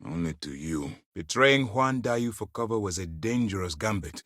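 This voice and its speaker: An actor playing a stern, commanding space military commander in a video game, speaking in a formal, measured, authoritative way. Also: male voice, deep voice, expressive, fairly bright tone, no room sound, studio quality. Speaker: fleet commander.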